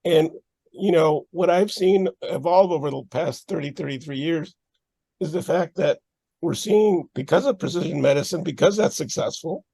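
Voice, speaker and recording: Man, hoarse, gravelly, husky, panelist, reflective, jokey, video call. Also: fairly high pitch, darkish tone, dry recording, low-quality recording. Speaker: panelist, AI and cognitive science background. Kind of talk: panel discussion remarks.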